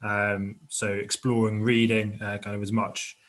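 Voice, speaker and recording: A man's voice: fairly low pitch, little pitch movement, a little slow. A man answering questions informally as a webinar panellist, explaining in a tentative, hedging way. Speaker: webinar panellist, admissions adviser. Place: online webinar.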